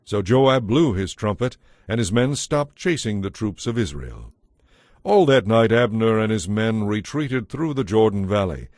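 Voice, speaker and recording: Guy narrating the bible, lowish voice, scripted reading, really dry close studio sound. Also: lively intonation, unhurried, darkish tone, no echo.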